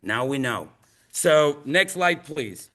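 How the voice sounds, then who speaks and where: Chilean guy presenting a talk, earnest and emphatic, kinda high voice, spanish is his first language. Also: lively intonation, slow, a bit bright. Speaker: museum outreach presenter. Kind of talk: presentation to an audience with slides.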